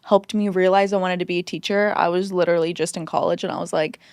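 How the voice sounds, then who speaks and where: A woman's voice: fairly low pitch, fairly even intonation, quick pace, a little mellow, smooth, no room sound, studio quality. An American woman chatting casually as a podcast guest, telling stories with lots of "like" and quoted asides. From United States, California and Texas. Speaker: former teacher. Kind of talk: casual conversational interview chat.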